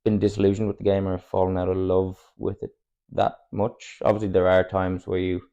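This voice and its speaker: A man's voice: low-pitched, monotone, fairly quick, creaky, warm tone, smooth, highs cut off. A man in his twenties with an Irish accent, a native Irish speaker, chatting candidly as a footballer guest on a podcast, rambling and chuckling often. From Ireland, Cork. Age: 20s. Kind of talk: casual podcast chat.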